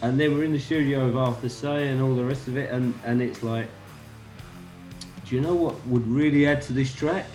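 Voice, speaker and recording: Band guest, male, from England, lively anecdotal telling over faint background music. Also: medium pitch, moderate pace, poor audio. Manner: animated anecdotes, quotes others. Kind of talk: casual interview storytelling.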